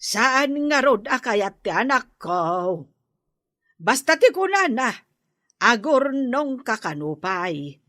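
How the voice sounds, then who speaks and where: A woman's voice: fairly high pitch, sing-song, slow pace, bright, forceful tone, no echo, studio quality, full character voice. A woman with a Filipino Ilocano accent playing a scolding older mother, emphatic, in a radio comedy drama. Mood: firm, admonishing. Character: scolding older mother of a wayward married man.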